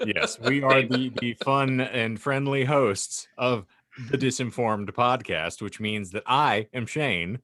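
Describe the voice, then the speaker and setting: A man's voice: mid-range voice, slow, fairly bright tone, no room sound. A man hosting a podcast with wry, mock-formal, wordy comedic banter. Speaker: podcast host.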